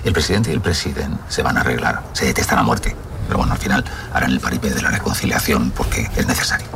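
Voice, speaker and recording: Blunt cynical political veteran, very low rough voice, film clip with noise behind. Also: male voice, fast talker. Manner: blunt, lecturing. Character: cynical man warning a colleague about politics. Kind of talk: film drama.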